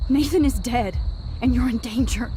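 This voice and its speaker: A woman's voice: fairly high pitch, mellow, slight background noise, lightly acted. A young American woman playing an anxious, earnest teenage girl in a dramatic video game, speaking in a hesitant, pleading way. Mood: grave, distressed. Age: young adult. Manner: hesitant, halting, emotionally pleading.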